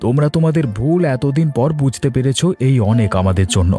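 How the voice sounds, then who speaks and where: An actor playing a wronged but forgiving young village fish farmer in a cartoon, emphatic and plain-spoken. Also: male voice, medium pitch, lively intonation, fast, dark tone, faint music behind, lightly acted. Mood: firm, forgiving. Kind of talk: Bengali moral-tale cartoon dialogue.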